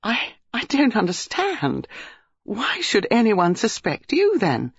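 A reader voicing an excitable, devoted young wife in a breathless, incredulous, pleading manner. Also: mid-range voice, normal speed, no room sound, dull audio, voice pushed up. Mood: bewildered.

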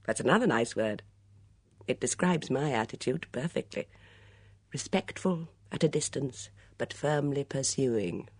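A woman's voice: rumbling low, expressive, measured pace, slightly rough, no room sound, exaggerated voice. A woman voicing a glib, teasing young man in love, with flowery, mock-formal speech in an audiobook story. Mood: playful, teasing.

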